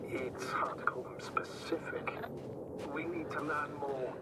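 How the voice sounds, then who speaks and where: A man playing a concerned space mission commander in a video game, measured and sympathetic with a dry streak. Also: steady tone, in a whisper, lightly acted. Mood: worried. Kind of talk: naturalistic video game voice acting. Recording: loud rumbling ambience, slightly distant.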